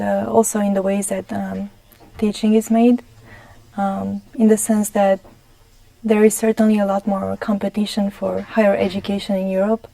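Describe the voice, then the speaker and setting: Young woman, Romanian accent, guest on a community TV show; even, mellow delivery, halting and careful. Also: mid-range voice, creaky, no room sound, low-quality recording. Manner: careful, many ums and restarts. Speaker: studio guest. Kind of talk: studio interview. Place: TV studio.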